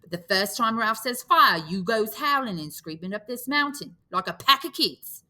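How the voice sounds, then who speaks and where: A fretful, indignant schoolboy, as a woman reader puts him on, his voice pushed up high and shrill. He pleads in breathless outbursts with a bright, forceful edge. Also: lively intonation, normal speed.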